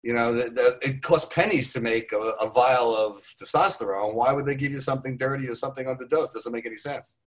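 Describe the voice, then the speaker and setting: A man's voice: medium pitch, a little fast. Older man, hesitant rambling podcast guest. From United States. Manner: rambling, hesitant with many fillers and restarts. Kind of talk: casual podcast chat. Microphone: computer mic on a remote call.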